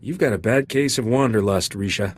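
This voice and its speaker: An actor playing a roguish, flirtatious smuggler captain in a video game, cocky and easygoing. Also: male voice, on the low side, fairly quick, slight background noise, close to an everyday voice. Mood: curious, flirting.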